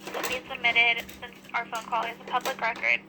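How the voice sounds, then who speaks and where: A woman's voice: fairly high pitch, completely flat delivery, a little fast. A woman working as a public service phone agent, talking in a procedural customer service way over the telephone. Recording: noisy telephone line.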